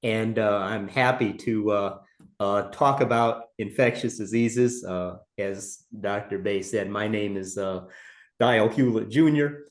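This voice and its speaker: Measured man in an echoey room. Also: lowish voice. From Cleveland, Ohio. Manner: measured, hesitant with frequent pauses. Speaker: infectious disease specialist, guest. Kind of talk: personal story on a panel.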